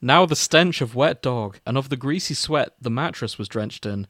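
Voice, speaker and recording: A male podcast host with a mid-pitched voice moves between casual chat and reading fiction aloud for comedy. The sound is dry and close, with very little room on it. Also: animated, normal speed, a bit bright, no room sound. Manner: breaks into chuckles while reading. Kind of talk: casual banter and comic read-aloud narration.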